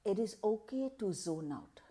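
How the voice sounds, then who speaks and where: A woman leading a guided meditation in a calm, measured, deliberate way, pausing between short phrases. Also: medium pitch, a little hiss or hum.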